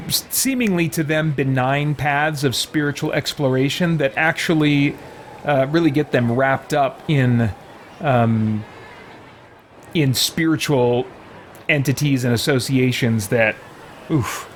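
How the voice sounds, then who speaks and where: A man's voice: mid-range voice, slight background noise. A man hosting a casual roleplay let's play, thinking aloud hesitantly as he comments. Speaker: gaming channel host.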